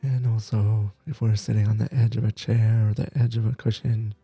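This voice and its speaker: A man leading a guided meditation as teacher, gentle and soothing, with soft breathy phrases that trail off between long pauses. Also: on the low side, level tone, soft background music. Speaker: meditation teacher, podcast host.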